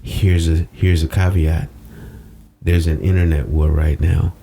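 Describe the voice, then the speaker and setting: A man's voice: a bass voice, little pitch movement, unhurried, vocal fry, mellow, a little hiss or hum. A man talking casually as an interview guest, slangy and emphatic, repeating phrases for effect. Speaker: interview guest, social media strategist. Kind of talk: animated casual talk.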